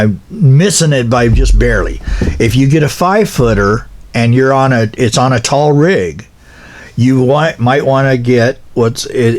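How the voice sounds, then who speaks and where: A man talking casually and instructionally in a folksy, rambling way, halting often and correcting himself as he explains practical matters. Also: medium pitch. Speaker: pilot car operator giving equipment advice.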